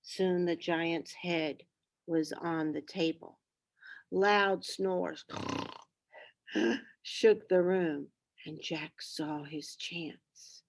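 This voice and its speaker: A woman reading a children's story aloud in an expressive, deliberate way. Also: fairly low pitch, gravelly. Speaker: storybook reader. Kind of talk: scripted children's story narration.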